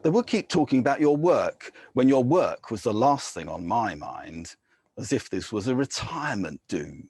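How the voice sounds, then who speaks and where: An English man giving a measured poetry reading, with soft, hesitant asides between poems. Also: medium pitch, big pitch swings, slightly rough, dry recording, clean studio sound. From England. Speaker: poet.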